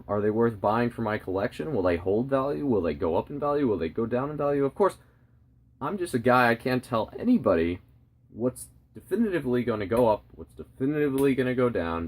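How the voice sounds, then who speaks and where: A man with a fairly low voice speaks as the host of a collecting channel. He gives his opinions casually and straight to the viewer. He leans on key words and repeats phrases to press them home. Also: darkish tone.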